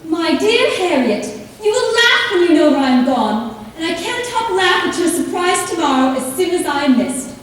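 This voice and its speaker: Woman as giddy high-voiced girl, echoey. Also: very animated, moderate pace, few pauses. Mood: gleeful. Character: giddy, carefree young girl reading her letter. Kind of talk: amateur stage play acting. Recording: echoey hall, distant mic, faint noise.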